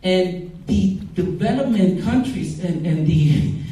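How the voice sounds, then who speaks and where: A man in his forties from Costa Rica with a Costa Rican Spanish accent, giving an informal, halting talk about his own story as a startup founder. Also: high voice, expressive, warm tone. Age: 40s. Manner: halting, repeats words for emphasis. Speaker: startup founder speaking at a summit. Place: event room at a startup summit. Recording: very echoey room, far from the mic.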